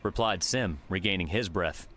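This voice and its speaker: A man's voice: low-pitched, normal speed, fairly bright tone, a little hiss or hum. A man reading scripted audiobook narration as the storyteller.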